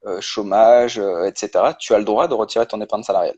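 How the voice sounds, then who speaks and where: Low-voiced man explaining finances. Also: steady tone, fast, a little mellow, husky, muffled recording. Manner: explains step by step with many hesitations. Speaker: guest, personal finance creator. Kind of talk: casual explanatory interview.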